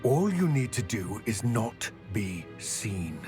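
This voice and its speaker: A man playing a grave, weary goblin in a video game, speaking in a formal, measured, wordy way about serious matters. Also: lowish voice, very animated, music in the background. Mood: cautious, serious. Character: grave, weary male goblin, wary of wizards. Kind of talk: video game cutscene acting.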